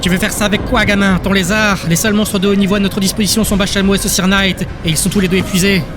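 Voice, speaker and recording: A man voicing a sharp-tongued, worried young woman, urgent and scolding, in an audiobook. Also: sits high, flat delivery, fast talker, over music, pitched above the performer's own voice, close to an everyday voice. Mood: scornful invective.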